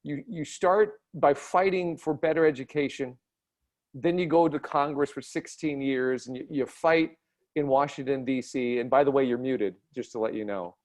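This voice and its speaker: A man's voice: medium pitch, moderate pace, a little mellow. Guy moderating a panel on a video call, friendly, restarts his words a bit.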